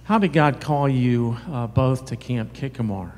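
An older man speaks as host of an interview in front of a congregation. He uses a handheld microphone, and his mid-pitched voice rings in the long reverb of a large church. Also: a little slow, a little mellow. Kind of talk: welcoming introduction and interview before a congregation.

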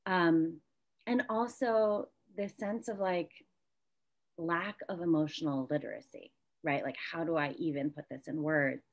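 Slightly low-voiced woman, casual interviewer. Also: unhurried. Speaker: interviewer, host. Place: indoor room at home, video call.

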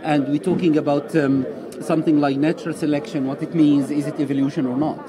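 A man's voice: on the high side, level tone, fast. A man in his sixties with an Egyptian accent, a native Arabic speaker, answering interview questions eagerly in slightly broken English in a busy lobby. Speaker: guest. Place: busy indoor conference lobby. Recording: crowd chatter behind.

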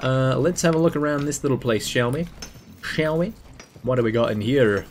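A man's voice: medium pitch, moderate pace, a little hiss or hum. Jokey man doing let's play commentary. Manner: jokey, slangy, muttering to himself. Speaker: gamer, video game player. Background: video game sound.

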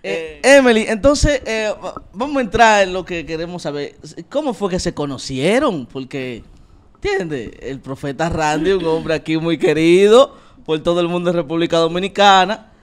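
A man's voice: sits high, expressive, moderate pace. A man in his thirties hosting a comedic talk show interview on a handheld mic in a small room, playful and theatrical, laughing often. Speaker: show host.

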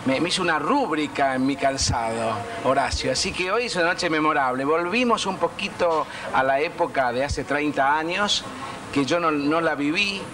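A man's voice: a little high, expressive, moderate pace. Rioplatense guy hosting an old tv interview, mid voice, sounds far off w hiss. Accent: Rioplatense Spanish. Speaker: program host. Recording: distant mic with noticeable noise on old video.

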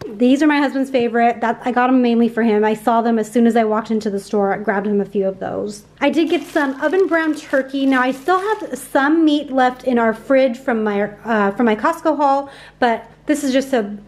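A woman's voice: on the high side, moderate pace, a little mellow. A woman in her thirties chatting casually and upbeat in a voice-over about her groceries, with lots of fillers. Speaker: grocery haul vlogger.

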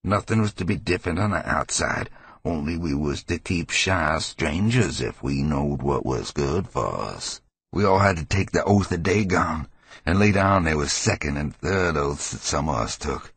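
A man voicing a hysterical, frightened old New Englander with a rasping voice and rambling rural dialect, in an audiobook horror story. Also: rumbling low, lively intonation, normal speed, a bit bright, no echo, heavily acted. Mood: grim, secretive. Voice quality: gravelly, husky old man's rasp. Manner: rambling folksy dialect, cackling and fearful. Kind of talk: dramatized audiobook character voice.

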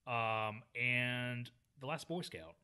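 Casting note: man, podcast co-host, very bright tone, eager casual chat. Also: medium pitch, slow pace, smooth. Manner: enthusiastic, lists cast credits.

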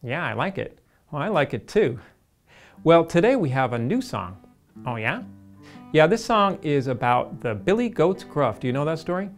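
A man's voice: fairly high pitch, very animated, normal speed. A middle-aged man chats as the host of a children's puppet show. He explains things casually into a headset microphone, with music around the show.

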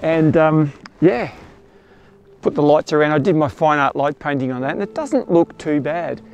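Aussie guy in his 50s, chatty and keen, talking to camera out in the bush, voice a bit high. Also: moderate pace, darkish tone, smooth, lo-fi sound. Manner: enthusiastic, chatty, explaining as he goes. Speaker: astrophotographer vlogger. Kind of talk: casual talk to camera. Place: outdoors at night in the bush, then a bush camp in the morning. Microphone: clip-on mic.

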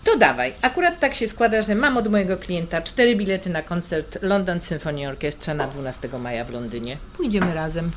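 A woman playing a brisk, teasing, worldly middle-aged sister in a naturalistic radio drama, blunt and bossy. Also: on the low side, expressive, close to an everyday voice. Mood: teasing, persuasive. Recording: clear kitchen noise and clatter. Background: cutlery, stirring, pots and pans.

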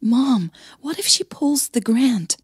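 A woman's voice: a little high, animated, takes it slowly, husky, no room sound, clean studio sound, pitched above the performer's own voice, full character voice. A woman voicing an anxious, exasperated young woman in an audio drama, emphatic with her mother. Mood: distressed.

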